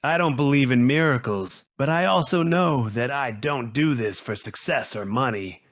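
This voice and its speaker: A man narrating a scripted video essay as its host, in an earnest, dramatic manner with short, measured rhetorical phrases. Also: medium pitch, a bit bright, no room sound, studio quality.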